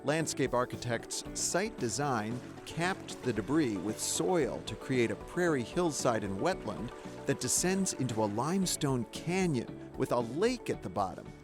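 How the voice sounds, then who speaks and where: A man's voice: mid-range voice, unhurried. A man hosting and narrating a documentary in a wry, conversational way, sometimes asking interview questions. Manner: wry, conversational, lively delivery. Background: music under the narration.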